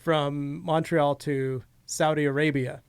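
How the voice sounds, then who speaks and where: A man in his thirties co-hosting a casual podcast and cutting in with quick jokes. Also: fairly high pitch, flat delivery, clear, resonant tone, dry recording. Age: 30s. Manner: quick, joking interjections. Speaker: podcast co-host.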